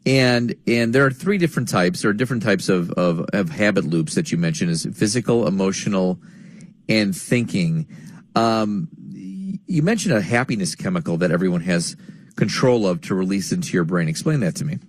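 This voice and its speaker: A male radio host with a low, slightly husky voice, reading his introduction and questions in a smooth announcer manner. The sound is dry and close, with no room around it. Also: animated, moderate pace, no room sound. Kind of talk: radio interview.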